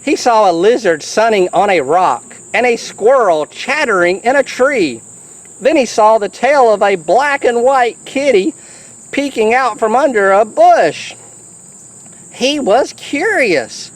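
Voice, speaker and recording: A storybook reader takes a children's tale slowly, with a very lively, varied pitch. The delivery is animated and chatty, dropping playful asides to the young listener between passages.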